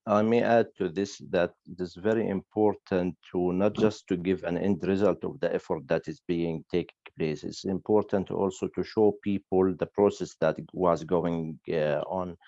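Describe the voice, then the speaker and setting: A man explaining a point as a panelist in measured, formal wording. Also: deep voice, steady tone, warm tone, no echo. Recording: dry, slightly dull call audio.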